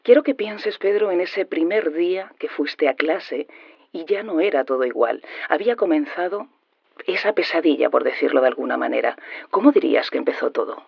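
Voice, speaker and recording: A man acting a courteous, sympathetic radio interviewer in an audio drama, asking questions gently and deliberately. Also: on the high side, animated, gravelly, slightly rough, no echo, highs cut off, exaggerated voice. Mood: probing, sympathetic.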